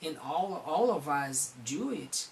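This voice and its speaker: Slow-spoken Brazilian-accented man. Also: on the high side, animated, gravelly, slight background noise. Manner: halting, earnest, often restarts phrases. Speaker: counselor. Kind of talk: recorded talk on psychology.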